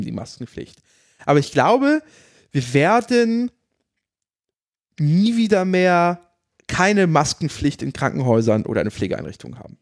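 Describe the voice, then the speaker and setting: Guy chatting, firm opinions, bit higher voice, dry close mic. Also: no room sound. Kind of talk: casual chat, personal anecdote.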